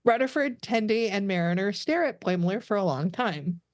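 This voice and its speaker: A woman's voice: medium pitch, lively intonation, moderate pace, no echo. Woman narrating a script, dry recording. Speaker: narrator in a podcast table read. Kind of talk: scripted stage-direction narration.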